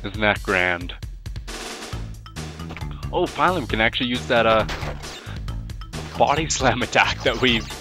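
A man's voice: mid-range voice, expressive, a little slow, pauses often. A man with a cold, sniffling a bit, giving rambling, playful commentary while he plays a video game. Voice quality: rambling, self-talking, playful jokes at the game. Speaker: let's play host. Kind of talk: casual gameplay commentary. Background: loud game music.

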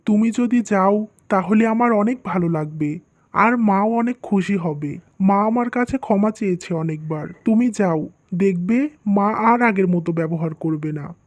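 A woman's voice: medium pitch, a little slow, a little mellow, natural voice. A woman voicing an earnest, worried young husband and dutiful son in a scripted cartoon, speaking plainly.

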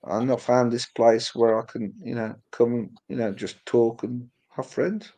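A man's voice: medium pitch, steady tone, measured pace, mellow, husky, low-quality recording. A middle-aged man whose first language was Polish, chatting casually on a video call and rambling with lots of "you know". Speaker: livestream co-host. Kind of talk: casual chat.

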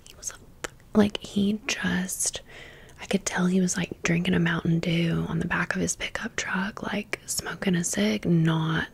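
A woman doing a chatty, rambling ASMR storytime in a breathy whisper with some creak and a wry manner. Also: fairly low pitch, steady tone, unhurried. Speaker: ASMR host.

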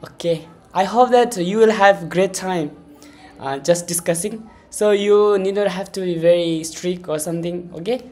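Hesitant young man hosting, mellow voice. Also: sits high, moderate pace. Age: 20s. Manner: hesitant, wordy, repeats himself. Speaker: podcast host, interviewer. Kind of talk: casual interview, halting questions.

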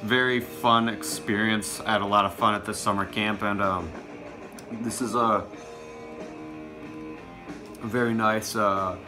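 A man's voice: fairly low pitch, fairly even intonation, a little slow, pauses often, over music. A young man in his teens or early twenties doing a casual show-and-tell to camera, recorded at arm's length in a small room at home. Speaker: music collection vlogger.

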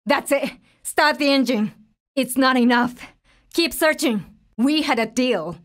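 A woman's voice: sits high, expressive, measured pace, fairly bright tone. A woman playing a tough, determined young survivor of a zombie apocalypse in a video game, speaking in terse, urgent, businesslike lines. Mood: focused, businesslike. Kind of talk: video game voice lines, short dramatic callouts.

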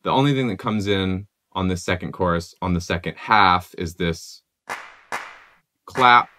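A man's voice: sits low, a little slow, slight background noise. A young man in his twenties, a music producer, casually explaining how a song was made in his home studio, with many "like" fillers. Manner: relaxed, conversational, many "like" fillers.

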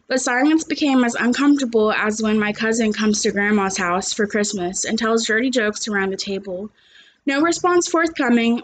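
A woman's voice: a little high, a little hiss or hum, low-quality recording. A young adult woman, a teacher, reading a story aloud and chatting to children, recorded at home on a computer's microphone. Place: small living room at home, indoors.

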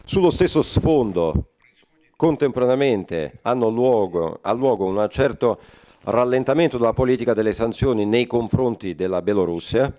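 A man interpreting a forum speech live, halting often with fillers and correcting himself mid-phrase. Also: medium pitch, expressive, mellow. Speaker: conference interpreter.

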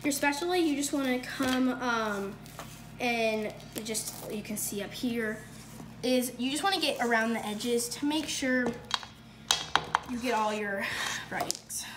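A woman's voice: a little high, a little slow. A young woman hosting a casual home cooking video, chatty and upbeat, with lots of fillers and self-corrections, recorded on a phone in a kitchen. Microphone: phone camera across the counter. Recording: echoey kitchen with noise.

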